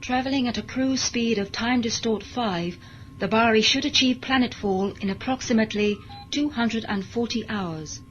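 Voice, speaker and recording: A woman's voice: on the high side, normal speed, lightly acted. A woman playing an emotionless, modified cyborg servant in a science fiction audio drama, speaking in a formal, precise, deferential way. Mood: dutiful, businesslike. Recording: dull lo-fi audio drama recording, music behind.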